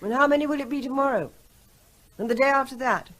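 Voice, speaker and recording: An actress playing an unhappy, frustrated wife in a radio drama, earnest and insistent. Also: female voice, sits high, quick pace, a bit bright. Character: unhappy, frustrated wife pleading with her evasive husband.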